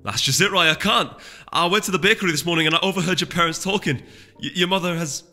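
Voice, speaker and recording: A man voicing an excitable, worried young female pony in a storybook reading, urgent and insistent. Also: sits high, fast, voice pushed up, exaggerated voice. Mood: anxious. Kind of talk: audiobook character voice. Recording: echoey hall reverb, music behind.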